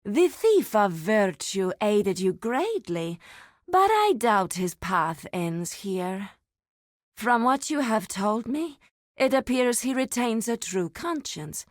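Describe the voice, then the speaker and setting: A woman's voice: mid-range voice, big pitch swings, slow, no echo. A solemn grove druid lady for a game, formal n grave, takes her time, dry studio sound. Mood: grave, judging. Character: solemn druidic woman, keeper of a sacred grove. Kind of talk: scripted game dialogue.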